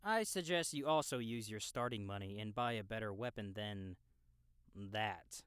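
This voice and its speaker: A man's voice: lowish voice, normal speed, fairly bright tone, smooth, no room sound, clean studio sound, lightly acted. A man playing a cocky, laid-back young gamer guide in an audiobook, casual, slangy and teasing. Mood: explanatory. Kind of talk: audiobook character dialogue in a game-world story.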